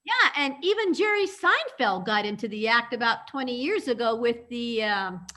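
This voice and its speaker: A woman's voice: fairly high pitch, lively intonation, normal speed, sharp tone. A woman reading the part of an outspoken, affectionate friend in an amateur radio play, with emphatic delivery. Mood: chatty, curious.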